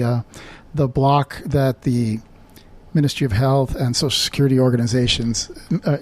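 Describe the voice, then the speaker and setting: A man's voice: medium pitch, darkish tone. A middle-aged man from Guatemala, a physician guest in an interview, speaking in a hesitant way with many fillers and restarts. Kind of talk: conversational interview.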